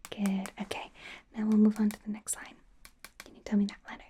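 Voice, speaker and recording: A woman playing a friendly, self-correcting werewolf doctor in an ASMR roleplay, speaking in a soft, gentle, hesitant whisper. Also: mid-range voice, monotone, brisk, a little hiss or hum, natural voice. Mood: gentle, attentive.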